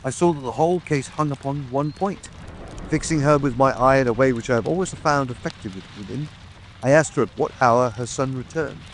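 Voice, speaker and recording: Male actor as smug police detective, officious, methodical, medium pitch, audiobook drama, faint rain. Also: fairly quick, slight background noise, lightly acted, rough recording. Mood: self-satisfied. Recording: faint rain ambience behind.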